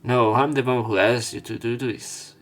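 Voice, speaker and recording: A man's voice: medium pitch, fairly even intonation, normal speed, a bit bright, faint music behind. A man reading a visual novel's narration and dialogue aloud for a let's play.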